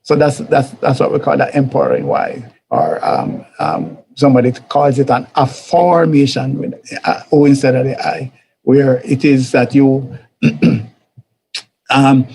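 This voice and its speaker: A man's voice: mid-range voice, expressive, measured pace, vocal fry, warm tone, a little hiss or hum, rough recording. A man with a Jamaican accent giving an informal church teaching talk, conversational and repetitive, often chuckling and acting out quoted voices. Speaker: teacher of a church life series.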